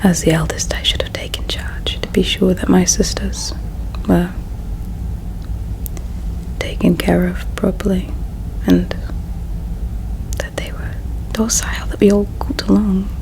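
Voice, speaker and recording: Slow whispering woman in ASMR roleplay. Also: fairly low pitch, poor audio. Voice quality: breathy whisper with soft creak. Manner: slow, halting, hushed storytelling. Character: regretful alien princess, eldest sister, solemn and resigned. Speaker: ASMR performer.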